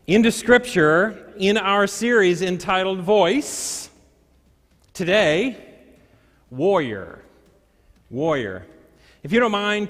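A male pastor preaching a sermon, reading scripture and telling stories with deliberate, dramatic pauses and emphasis. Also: high-pitched, a bit bright. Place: large church sanctuary. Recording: echoey hall with long reverb.

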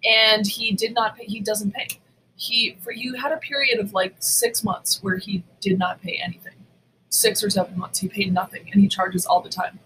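A woman with a bright, forceful voice and mid-range pitch, chatting as a podcast co-host. She is blunt and emphatic and swears freely as she tells her stories, with some background noise around her. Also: steady tone, moderate pace, rough recording. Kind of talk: casual, profane storytelling chat.

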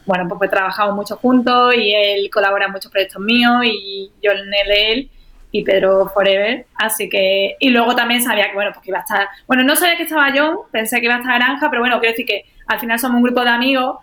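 A woman guest in a casual chat, telling stories in a relaxed way with fillers and wry irony. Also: on the high side, fast talker. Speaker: guest, actress in a short film.

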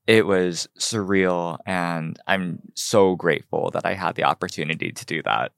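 Low, smooth-voiced young man. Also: fairly bright tone, dry recording, studio quality. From United States (Ohio). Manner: earnest, measured storytelling. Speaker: guest, fashion designer. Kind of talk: podcast interview.

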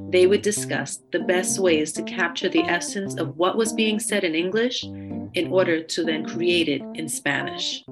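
A woman host reading a scripted, upbeat introduction to a podcast episode. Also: on the low side.

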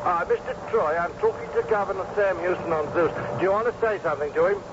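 Man acting an uneasy spaceship commander, high voice, radio-drama delivery, dull noisy old recording. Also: fast talker, natural voice. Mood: uneasy, reporting. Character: anxious male spaceship commander reporting by radio link.

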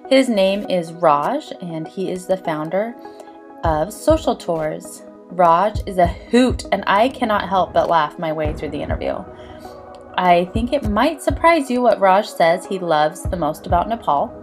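A woman's voice: lowish voice, expressive, unhurried, faint music behind. Chatty woman hosting a podcast. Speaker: podcast host and interviewer. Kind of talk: podcast interview, casual chat.

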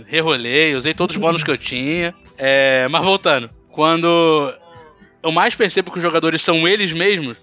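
A Brazilian man with a bright, forceful, fairly high voice chats as a podcast host. He tells stories emphatically and informally, on a slightly dull recording with faint music underneath. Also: normal speed. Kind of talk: casual podcast chat. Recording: muffled mic, faint music bed.

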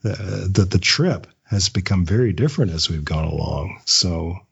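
A man's voice: deep voice, moderate pace, gravelly, slightly rough, highs cut off. A man giving casual, reflective interview answers as a guest writer, often repeating words as he thinks. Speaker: podcast guest, audio drama writer; script writing teacher.

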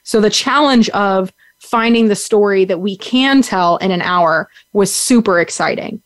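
A woman's voice: mid-range voice, a little slow, no echo. A young adult woman hosting a podcast, chatting casually and upbeat, rambling with lots of "like" and "um". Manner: rambling, upbeat, many fillers like "like" and "um". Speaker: podcast host. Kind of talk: casual chat recap. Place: sitting on the ground.

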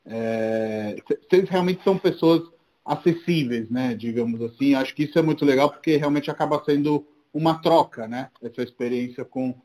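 A man's voice: medium pitch, moderate pace, warm tone. A man from Rome, a native Italian speaker, conducting a casual, conversational interview. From Rome, Italy.

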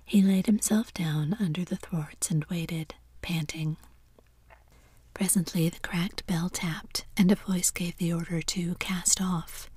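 A woman narrating a classic story in a steady, hushed whisper. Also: fairly low pitch, takes it slowly, husky, no room sound.

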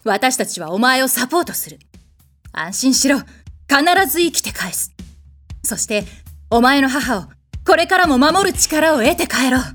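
A woman's voice: sits high, expressive, normal speed, fairly bright tone, soft background music. A woman playing a haughty, blunt warrior goddess in a comedic audio drama, speaking in an imperious, curt way with a protective streak. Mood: commanding, reassuring. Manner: imperious, commanding, curt masculine phrasing.

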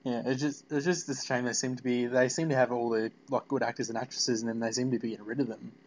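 A man's voice: medium pitch, fairly even intonation, fast, a little mellow, muffled recording, slight background noise. A man hosting a casual fan interview, rambling and repeating his phrases as he goes. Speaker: podcast interviewer.

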